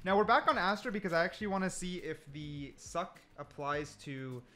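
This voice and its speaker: A man's voice: sits high, lively intonation, moderate pace, bright, forceful tone. A man giving casual gameplay commentary, explaining things and repeating points for emphasis. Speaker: gaming video creator.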